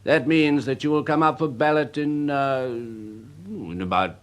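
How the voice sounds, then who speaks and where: An actor playing a worldly, pompous older uncle with an upper-class English accent, speaking deliberately in period radio drama style. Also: male voice, medium pitch, lightly acted. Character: worldly, pompous older gentleman uncle, proud of his nephew.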